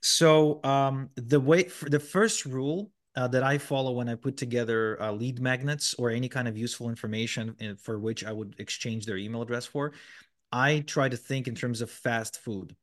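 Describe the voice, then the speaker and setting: Male guest, mid-pitched, chatty explainer, loose delivery full of ums and restarts. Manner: explanatory, many fillers and restarts. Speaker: podcast guest. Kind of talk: conversational interview answers.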